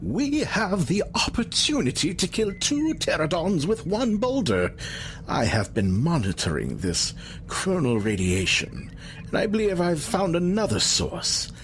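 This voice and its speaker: A man voicing a pompous, scheming alien scientist in an audio drama, speaking in a drawn-out, theatrical way. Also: a little high, huge pitch swings, a little hiss or hum. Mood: smug, scheming. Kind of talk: audio drama villain acting.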